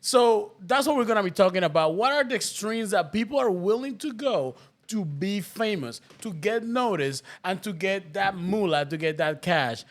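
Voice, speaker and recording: A man's voice: high voice, animated, moderate pace, few pauses. A man hosting a podcast in casual chat, upbeat as he sets up topics and guests. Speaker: podcast host.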